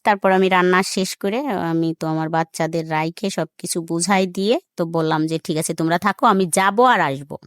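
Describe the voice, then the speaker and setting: Low-pitched Bangladeshi woman telling her story. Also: steady tone, fairly quick, smooth, no room sound, clean studio sound. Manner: colloquial, reenacts remembered dialogue in quotes. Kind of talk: personal storytelling in a radio interview.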